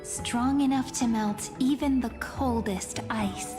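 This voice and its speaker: A woman voicing a calm, mysterious fortune teller in scripted game dialogue, recorded with heavy reverb. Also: fairly high pitch, slow. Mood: mysterious, measured. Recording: long hall reverb over music.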